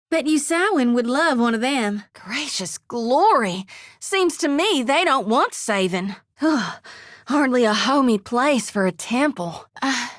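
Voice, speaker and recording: Folksy young priestess, plainspoken, slightly high voice, dry close recording. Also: female voice, a bit bright, no room sound, heavily acted. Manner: folksy, plainspoken, lots of "ain't" and "well, hell". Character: devout young female priest, folksy and earnest. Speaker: party companion. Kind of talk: video game voice lines.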